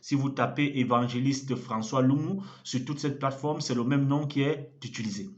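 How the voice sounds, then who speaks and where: A man's voice: mid-range voice, normal speed. Earnest male evangelist, lo-fi sound. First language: African language. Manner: earnest, repeats phrases for emphasis, grows more fervent. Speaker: evangelist preacher. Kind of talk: online sermon to camera.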